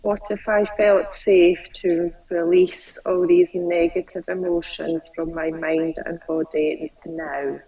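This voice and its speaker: A woman client who stutters, slowly repeating phrases after her coach over a video call. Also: lowish voice, flat delivery, dark tone, far from the mic, poor audio.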